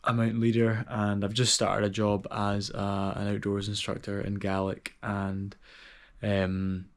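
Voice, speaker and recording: A young man with a Scottish accent, chatting casually in a vlog as an outdoor instructor, relaxed and full of ums and restarts. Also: fairly low pitch, unhurried. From Scotland.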